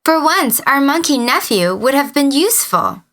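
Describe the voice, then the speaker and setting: A woman's voice: sits high, sing-song, normal speed, a little hiss or hum, voice pushed up. A woman voicing exasperated grown village uncles, male hunters who scold and call out, in a children's story. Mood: wry regret. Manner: scolding, loud calling.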